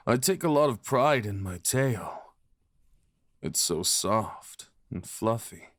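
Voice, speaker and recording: A man playing a devoted, possessive fox spirit husband in an intimate ASMR roleplay, rambling softly and tenderly with murmured endearments. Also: fairly low pitch, expressive, takes it slowly, no echo, full character voice. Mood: doting, playful.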